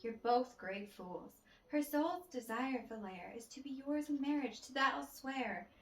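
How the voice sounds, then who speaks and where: Woman playing a sassy, bossy high-voiced maid. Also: expressive, measured pace, a bit bright, slight background noise, exaggerated voice. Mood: bossy, exasperated. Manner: theatrical, exasperated scolding. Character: sassy, bossy ladies' maid, sharp-tongued and scolding. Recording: roomy echo with music behind.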